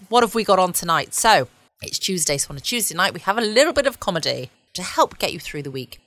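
Low-voiced woman podcaster, chatting casually. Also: fairly bright tone, no room sound. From United Kingdom. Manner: rambling, muses aloud and answers own questions. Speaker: podcast host. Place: at home, indoors.

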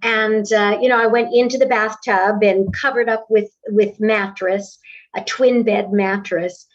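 Woman, 60s, voice teacher, measured and articulate, muffled audio. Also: medium pitch, fairly even intonation, far from the mic. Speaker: voice and acting teacher. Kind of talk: casual interview conversation. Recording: dull lo-fi audio, slightly off-mic.

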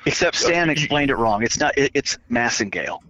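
A man's voice: medium pitch, lively intonation, moderate pace, bright, forceful tone. A man with a scratchy voice, telling stories with self-mocking humor in a casual chat. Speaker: guest. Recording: dull lo-fi audio.

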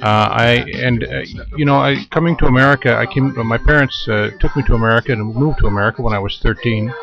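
A man's voice: medium pitch, vocal fry. A man in his fifties who grew up in Japan, a radio interview guest telling long, thoughtful stories. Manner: unhurried, thoughtful storytelling. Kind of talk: casual interview. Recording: muffled lo-fi radio recording with music behind.

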